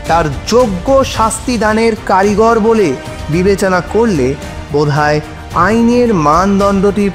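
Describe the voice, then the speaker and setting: An actor playing an earnest, assured police officer pleading in court in an audio drama, with formal, deliberate, persuasive oratory. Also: male voice, high-pitched. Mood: emphatic pleading.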